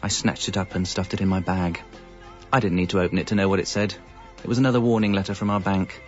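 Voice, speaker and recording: A man's voice: sits low, steady tone, fast talker, muffled recording, soft background music, natural voice. A male actor with an English accent playing a discontented, evasive young father, narrating a scripted radio comedy in a wry, confessional way. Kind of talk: scripted first-person comic narration.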